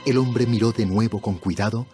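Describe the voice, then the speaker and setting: A man's voice: lowish voice, a little fast. A man narrating a dramatized audio Bible in a scripted storytelling style. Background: background music.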